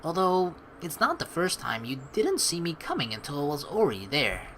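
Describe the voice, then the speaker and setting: A woman's voice: sits low, huge pitch swings, normal speed, a bit bright, a little hiss or hum. A woman doing casual, playful gaming commentary while reading a story game's narration aloud. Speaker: let's play streamer. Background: game music.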